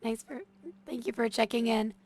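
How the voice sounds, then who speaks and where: A woman streaming a game while sick, her voice squeaky, chatting and muttering to herself. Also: level tone, normal speed, darkish tone, no echo. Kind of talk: casual gameplay commentary.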